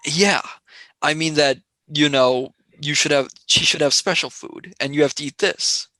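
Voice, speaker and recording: A woman's voice: low voice, a little slow, sharp tone, no echo, poor audio. A performer playing a wry, sardonic young male space traveller who turns sarcastic and wheedling in a radio play.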